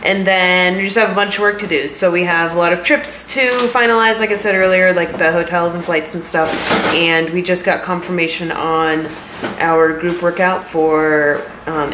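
A woman in her thirties with an American accent talks in a casual fitness vlog style. Her voice sits a little low. She is in an echoey home kitchen, with light noise behind her. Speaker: fitness vlogger. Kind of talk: casual vlog chat and explaining. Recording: roomy kitchen with some background noise.

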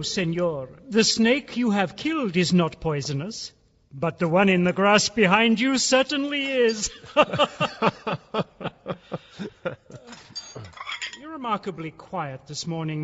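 Hearty high-voiced English country gentleman. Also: exaggerated voice. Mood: jovial. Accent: British. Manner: hearty, genial, effusive. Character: jovial, effusive middle-aged English country gentleman. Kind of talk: audio drama acting. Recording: dull, reverberant, faintly noisy recording.

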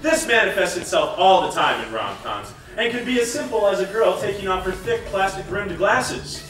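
A man's voice: high voice, animated, moderate pace, over music. A young man acting as an earnest lecturer in a scripted stage comedy, projecting his lines in declarative fashion. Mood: earnest explaining. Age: young adult. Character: lab-coated male lecturer explaining rom-com rules, earnest. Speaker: stage actor playing a lecturer. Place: large theatre stage, indoors. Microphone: distant camera microphone. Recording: echoey theatre from far off, noisy.

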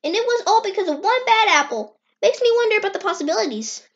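Woman voicing a squeaky, animated little boy fox. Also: fast, lo-fi sound, exaggerated voice. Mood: excited. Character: eager, playful little boy fox grown giant. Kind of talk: amateur comic dub acting.

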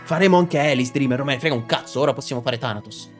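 A man's voice: mid-range voice, fast. A man in his thirties narrating and commenting on a game playthrough in a theatrical, jokey manner. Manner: theatrical, jokey, slips into character voices. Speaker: streamer. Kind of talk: animated game playthrough, reading dialogue aloud. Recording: game music behind voice.

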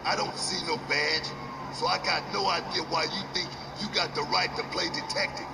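A man's voice: high voice, vocal fry, far from the mic, full character voice. An actor voicing a boastful, defensive male wrestler who trash-talks in slang, heard as a noisy, echoey game recording.

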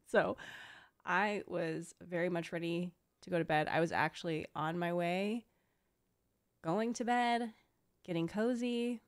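A tired young woman with a slight lisp, chatting casually as she streams a reaction at a close desk microphone. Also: fairly low pitch. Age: young adult. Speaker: streamer reacting to game trailers. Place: small room at home. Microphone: close desk microphone with headset.

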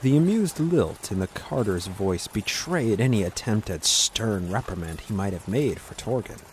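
Lowish-voiced man narrating fantasy. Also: expressive, moderate pace, a little hiss or hum, low-quality recording. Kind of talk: scripted fantasy story narration.

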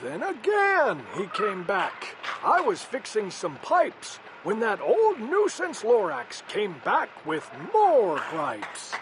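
A man's voice: sits high, wildly swooping pitch, slow pace, hardly any pauses, background noise. A man reading a rhyming children's story aloud in a theatrical, emphatic way. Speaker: storybook reader.

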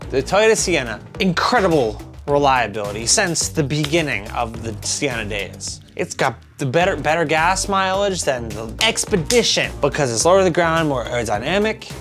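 A man in his thirties hosting a casual car review to camera, with a loose, tipsy delivery full of stumbles and drawn-out words. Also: a little high, very animated. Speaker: host of a car review show. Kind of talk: casual scripted-list review to camera with asides. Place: small home office room with computer monitors. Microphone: lavalier clipped to shirt. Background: music.

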